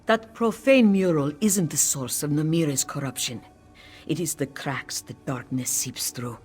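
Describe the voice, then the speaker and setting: A man's voice: fairly high pitch, close to an everyday voice. Man as a grave cat-folk mystic over music. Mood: grave urgency. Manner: grave, measured, instructive. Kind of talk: scripted video game quest dialogue.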